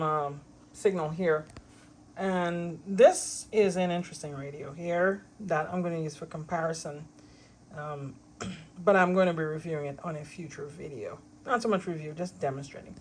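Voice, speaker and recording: A woman's voice: on the low side, slight background noise. Chatty woman reviewing a radio. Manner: relaxed, chatty, with frequent ums. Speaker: ham radio channel host reviewing a radio. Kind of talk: casual product demonstration. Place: at home by a window.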